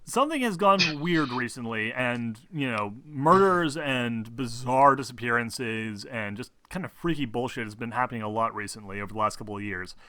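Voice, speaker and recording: A man runs a tabletop roleplaying session as game master. His voice is bright and very dry, with no room around it. He talks informally, swearing freely and halting as he builds the scene. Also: medium pitch, animated, no echo.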